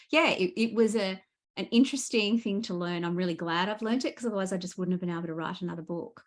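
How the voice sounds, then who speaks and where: A woman chatting casually as a guest author, thoughtful and animated in how she explains things. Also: fairly low pitch. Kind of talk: casual book interview chat.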